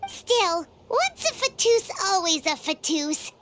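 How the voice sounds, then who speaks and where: An actress voicing a small floating fairy companion, curious and chatty, in a video game. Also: female voice, very high-pitched, noisy background, full character voice. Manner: lively, quick to comment.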